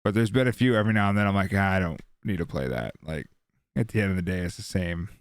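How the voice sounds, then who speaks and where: A low-pitched male podcast co-host talks casually about games. He is slangy and swears freely, and the sound is dry and free of room echo. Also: fast talker, clean tone. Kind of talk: casual panel chat about video games.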